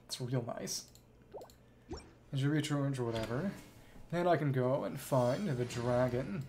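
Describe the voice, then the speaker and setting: A man's voice: medium pitch, measured pace, creaky, a little mellow, a little hiss or hum. A young man streaming a video game, rambling casually and thinking aloud to himself as he plays. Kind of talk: casual gameplay commentary. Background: game music and effects.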